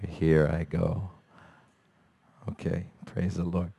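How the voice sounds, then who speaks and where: A man from America, a church elder, preaching a sermon with a raspy, hoarse voice and earnest, searching pauses. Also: low voice, flat delivery, warm tone, no room sound. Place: church service. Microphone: handheld microphone.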